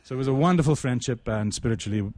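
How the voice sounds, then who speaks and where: A man's voice: mid-range voice, fast, darkish tone, dry recording. A man co-hosting a radio theatre show as a poet, with a theatrical streak in how he speaks. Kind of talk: casual reminiscing and dramatic poetry recital.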